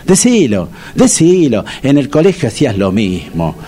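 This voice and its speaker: A man's voice: medium pitch, huge pitch swings, moderate pace, dark tone, soft background music. An actor with a Rioplatense Spanish accent playing a proud, touchy man who gets sarcastic with his friend. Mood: indignant. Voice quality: indignant, sarcastic. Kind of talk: comedy TV sketch acting.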